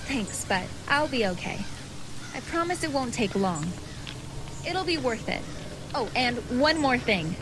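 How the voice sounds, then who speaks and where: A woman's voice: sits high, very animated, unhurried, long pauses, background noise. A young woman acting a determined, secretive video game character, speaking with a purposeful, reassuring and lightly teasing manner. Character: determined, secretive young woman guiding her newfound brother.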